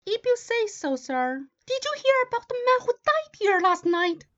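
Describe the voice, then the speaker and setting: A woman's voice: very high voice, big pitch swings, moderate pace, a bit bright, exaggerated voice. A woman playing a deferential young hospital nurse in a radio play, speaking dramatically on a muffled recording. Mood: hushed, ominous.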